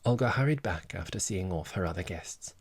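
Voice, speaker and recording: A man's voice: deep voice, animated, fairly quick, husky, no room sound. A man reading literary fiction as a scripted audiobook narration.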